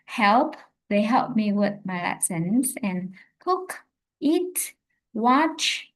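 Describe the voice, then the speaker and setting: Woman, live-stream English teacher, slow and halting delivery. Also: medium pitch, big pitch swings, poor audio. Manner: slow, hesitant, many fillers and self-corrections. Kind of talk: live-streamed language lesson.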